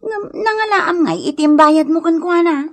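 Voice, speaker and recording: An actress playing a nagging, scolding young village wife in a radio comedy drama, exclaiming dramatically with an Ilocano accent. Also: female voice, very high voice, animated, moderate pace, a bit bright. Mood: scolding, exasperated.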